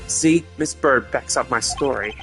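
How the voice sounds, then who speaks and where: A man's voice: mid-range voice, unhurried, fairly bright tone, soft background music. A man voicing a cocky male prosecutor in a video game, a flippant suspect with a casual, taunting manner. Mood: dismissive.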